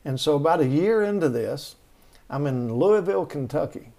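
A man's voice: on the high side, expressive, moderate pace, gravelly, warm tone. A middle-aged man, telling rambling stories as an interview guest, with many restarts. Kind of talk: storytelling conversation.

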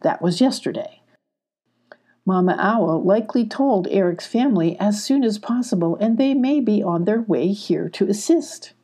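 A woman voicing a calm, thoughtful male fox in a storybook, measured and reasoning. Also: medium pitch, breathy edge.

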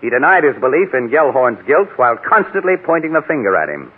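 A confident American photographer-detective in a 1940s radio mystery. He is brisk and probing, with a rough-edged, lively swinging voice. The vintage recording sounds muffled. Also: medium pitch. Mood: knowing, probing. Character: shrewd, confident newspaper photographer sleuth. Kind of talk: 1940s radio crime drama acting. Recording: dull old radio recording.

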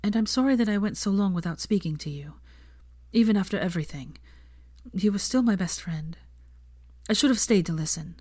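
A prim, earnest young expectant mother in audiobook dialogue , acted by a woman reader. Her voice sits lifted and soft, with a light lilt and an awkward warmth. The sound is close and dry. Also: mid-range voice, brisk, no echo, close to an everyday voice. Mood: apologetic, emotional.

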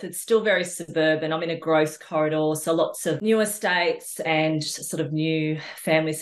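Low-pitched middle-aged Australian woman, roomy sound. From Australia, New South Wales. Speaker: podcast guest, social worker. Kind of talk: casual conversational interview.

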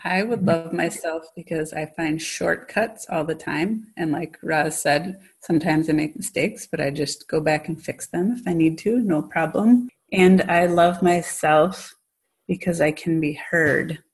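A woman's voice: sits low, a little slow, slightly rough. A woman in a casual chat, speaking in an upbeat, affirming way.

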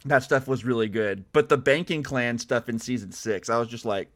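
A man with an average-pitched voice chats as a podcast guest. He sounds casual and warmly enthusiastic, reacting freely to what he is watching. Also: fairly quick. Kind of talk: casual film watch-party chat.

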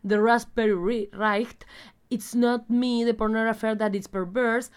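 A woman's voice: fairly high pitch, steady tone, slow, clear, resonant tone. An Argentine woman hosting a casual chat show in an irreverent, theatrical, slangy way. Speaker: podcast host.

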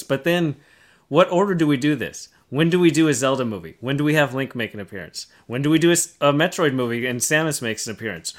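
A man hosting a podcast in a casual panel discussion, talking in an explanatory way and posing rhetorical questions. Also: on the high side. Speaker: podcast host.

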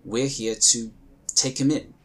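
A man playing a composed, dutiful psychic agent in a sci-fi audio drama, speaking in a formal, earnest, measured way. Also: mid-range voice, fairly even intonation, natural voice. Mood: calm, insistent.

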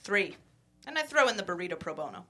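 Woman playing a cheeky ex-con taco man, animated. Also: on the low side, quick pace, exaggerated voice. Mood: haggling. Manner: slangy, cheeky, unashamed. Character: smooth-talking Mexican male taco seller and ex-con car thief. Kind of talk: comedy script table read.

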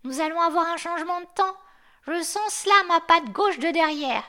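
Storybook casting: a woman playing a shrill, know-it-all old watchdog who barks his words, loud and high. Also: normal speed. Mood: lecturing. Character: old grumpy male yard dog, know-it-all.

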